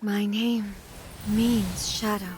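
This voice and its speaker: A woman playing a grave, regal, ageless goddess in a video game cutscene, speaking formally and with measured weight, with old grief beneath. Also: mid-range voice, fairly even intonation, loud music behind the voice. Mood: sorrowful, grave.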